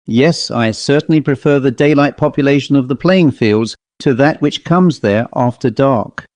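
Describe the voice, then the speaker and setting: A man reading a ghost story as an audiobook narrator, in a measured, literary storytelling manner. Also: mid-range voice, darkish tone, no echo.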